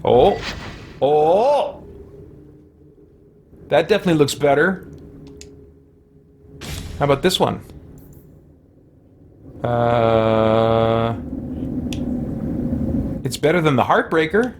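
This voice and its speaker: Male let's-play host, casual and chatty, thinking aloud with lively swings in pitch over noisy game sound. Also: very slow, pauses often. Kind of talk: casual gameplay commentary with muttered self-talk. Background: video game sound and music.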